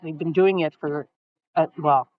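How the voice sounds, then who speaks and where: A woman's voice: low voice, fairly even intonation, a little slow, no echo, phone-quality sound. A Canadian woman co-hosting a podcast, chatting casually and teasing herself, recorded at an outdoor market. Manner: chatty, self-teasing, trails off with fillers. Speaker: podcast co-host. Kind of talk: casual chat.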